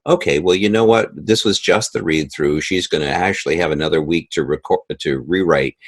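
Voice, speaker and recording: A man with a low voice talks through his work in an interview. The sound is dry and close, and his delivery is conversational, with short acted-out asides as he explains. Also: slightly rough, dry recording. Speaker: composer and sound editor of an audio drama.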